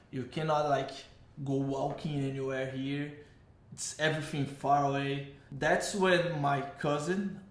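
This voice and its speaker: A man's voice: fairly high pitch, roomy sound. Brazilian-accented man telling his story. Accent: Brazilian Portuguese. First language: Portuguese. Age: 30s. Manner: rambling, self-correcting, frequent "like" and "uh". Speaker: vlogger. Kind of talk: casual personal storytelling.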